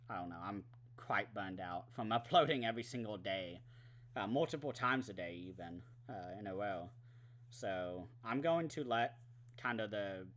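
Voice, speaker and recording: A man's voice: lowish voice, fairly bright tone, a little hiss or hum. A man chatting casually in a monthly movie vlog, rambling with many fillers and some swearing, his throat dry and his voice going. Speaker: movie vlogger. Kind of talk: casual monthly wrap-up chat.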